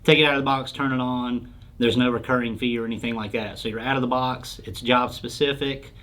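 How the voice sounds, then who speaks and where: A man's voice: mid-range voice, fairly even intonation, brisk, fairly bright tone. A man answering questions as a sales expert in an informal explainer interview, speaking in a plain, explanatory way.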